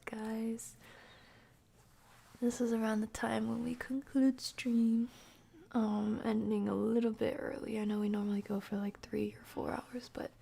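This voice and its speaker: A woman's voice: fairly high pitch, vocal fry, a little mellow. A woman hosting a livestream, chatting casually and informally with viewers in a rambling way. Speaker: live streamer hosting a stream. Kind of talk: casual livestream chat with viewers, reading comments.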